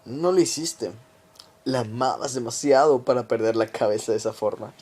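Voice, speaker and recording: A man playing a cold government conspirator in a radio drama, confessing a plot to his victim in a deliberate, taunting, menacing way. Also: medium pitch, darkish tone, husky, natural voice. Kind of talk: amateur radio drama monologue.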